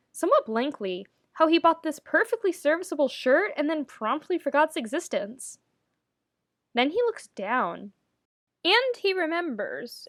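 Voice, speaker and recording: A woman's voice: high voice, a bit bright, no echo, clean studio sound. A woman narrating a story expressively, with wry, dramatic emphasis. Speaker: podfic narrator reading fan fiction.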